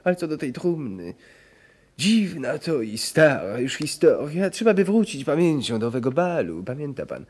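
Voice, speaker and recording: A man's voice: on the high side, sing-song, normal speed, a little mellow, breathy edge. An actor playing a fretful, obsessive aristocrat in a theatrical radio play, prone to repeating his words. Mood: uneasy, reminiscing. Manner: obsessive stuttering word repetition. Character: fretful, obsessive old-fashioned aristocrat feigning madness.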